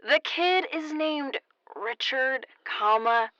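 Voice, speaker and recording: Loud-mouthed female radio jock, bright crisp voice, jokey teasing banter, parody morning show. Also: sits high, lively intonation, slow, no room sound, highs cut off, full character voice. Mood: gleeful.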